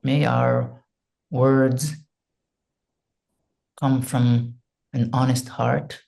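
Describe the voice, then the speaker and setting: A man from Israel with an Israeli accent, speaking in English as an interview guest, soft and hesitant, searching for words and leading a quiet prayer. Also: mid-range voice, little pitch movement, very slow, vocal fry. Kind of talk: slow reflective interview talk with a spoken prayer.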